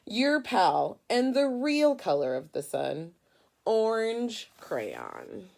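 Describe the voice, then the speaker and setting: A woman's voice: medium pitch, huge pitch swings, takes it slowly, vocal fry, a little mellow, pitched above the performer's own voice, exaggerated voice. A woman voicing an indignant, complaining orange crayon from a picture book, with emphatic delivery. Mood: proud. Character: indignant orange crayon insisting he's the sun's color.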